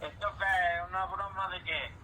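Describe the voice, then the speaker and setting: A man's voice: high voice, steady tone, normal speed, sharp tone. A man in an informal phone conversation, sounding puzzled and reluctant and repeating himself, recorded over a telephone. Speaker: prank call recipient. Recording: noisy phone call.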